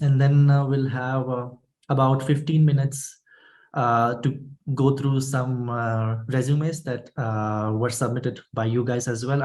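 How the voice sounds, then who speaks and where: A man moderating an online workshop, welcoming attendees and walking through the session in an informal, halting way. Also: medium pitch, darkish tone. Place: online video meeting.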